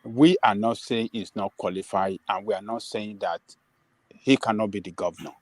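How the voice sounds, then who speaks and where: A man's voice: lowish voice, normal speed, a little mellow, no echo. A man on a live panel, firmly pushing back.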